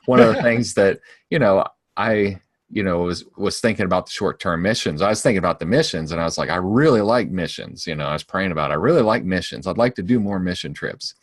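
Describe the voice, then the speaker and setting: Man, 40s, very low voice, dry close sound, casual rambling chat, frequent laughs. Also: lively intonation, quick pace, dry recording. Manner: rambling, laughs often, many restarts. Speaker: podcast co-host.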